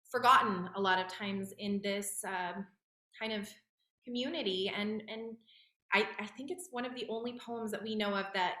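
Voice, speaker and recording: Middle aged lady hosting a livestream from home, bright voice, kinda echoey, chatty and informal. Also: mid-range voice. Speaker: event host. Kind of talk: casual, informal event hosting. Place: indoors at home, virtual event.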